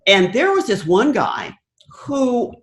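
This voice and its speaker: An older American woman talks as an interview guest in a roomy, echoing space. Her pitch moves up and down in a lively way as she thoughtfully tells anecdotes, stopping now and then to find a word. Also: takes it slowly. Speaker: interview guest, broadcast producer.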